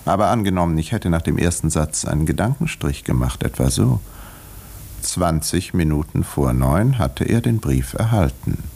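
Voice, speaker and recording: An urbane doctor confessing to murder in a 1950s radio play. His voice is deep and creaky, with lively swings of pitch. He sounds ironic, reflective and pleased with himself. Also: normal speed, heavily acted. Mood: smug, reflective. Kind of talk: 1950s German radio drama monologue.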